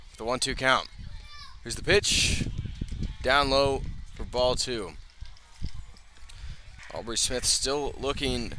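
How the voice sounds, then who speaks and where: A man with a bright, forceful voice calls baseball play-by-play for radio. He delivers live commentary pitch by pitch in a medium-pitched voice. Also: a little hiss or hum. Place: outdoor ballpark broadcast position.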